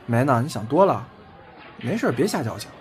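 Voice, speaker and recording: Man acting a blunt, proud young man, lively pitch. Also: brisk, natural voice. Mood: offhand. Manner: curt, scornful retorts. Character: defiant, blunt, proud young man standing his ground. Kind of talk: Chinese audio drama acting. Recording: music behind the voice.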